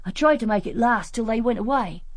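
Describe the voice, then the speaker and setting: A woman's voice: fairly high pitch, expressive, fairly quick, no echo, natural voice. A woman voicing a cheeky, matter-of-fact country schoolboy with a rural English accent in a radio drama.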